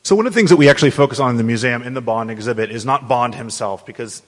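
A man's voice: medium pitch, rapid-fire. A man conducting a conversational interview, talking as the interviewer to a guest. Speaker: interviewer from a museum. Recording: echoey hall with long reverb.

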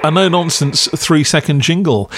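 A man's voice: fairly high pitch, normal speed, fairly bright tone. A man narrating a scripted review as a podcast host, with a wry, critical, dry delivery.

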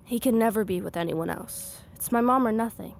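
An actress playing a sulky, guarded and stubborn young daughter in a naturalistic audio drama. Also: female voice, fairly high pitch, fairly quick, gravelly, a little hiss or hum. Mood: sulky, hurt.

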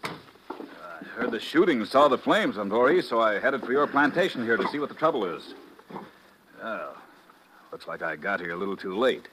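An actor playing a wary plantation owner, insinuating and needling, in a 1950s radio drama. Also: male voice, fairly low pitch, very animated, normal speed. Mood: wry. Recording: noisy old radio broadcast.

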